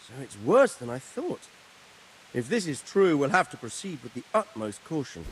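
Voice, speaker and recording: A man playing a brisk commanding lord. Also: mid-range voice, sing-song, a little hiss or hum, natural voice, poor audio. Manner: authoritative, brisk. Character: commanding nobleman, the squire's lord. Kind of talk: video game cutscene acting.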